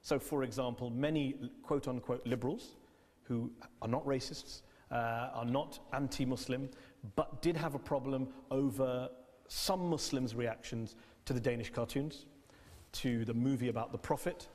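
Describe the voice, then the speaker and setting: A male TV interviewer presses hard, stacking rhetorical questions and cutting in on his guest. His voice sits at an ordinary middle pitch. It rings with the long reverb of a large debating hall. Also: moderate pace, darkish tone. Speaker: TV interviewer host. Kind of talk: confrontational broadcast interview.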